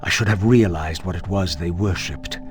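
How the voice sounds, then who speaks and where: An actor playing a bitter, brooding undead wraith in a video game, with grave, theatrical, deliberate phrasing. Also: male voice, sits low, natural voice. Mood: brooding, reflective. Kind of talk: dramatic video game dialogue and monologue. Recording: music behind the voice.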